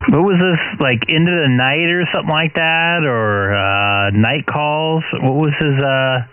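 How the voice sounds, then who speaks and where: A man's voice: fairly high pitch, a little slow, smooth, no room sound. Casual man asking questions over radio. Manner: casual, uses slang like dude, asks questions. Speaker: ham radio operator. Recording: tinny radio transmission with loud hiss.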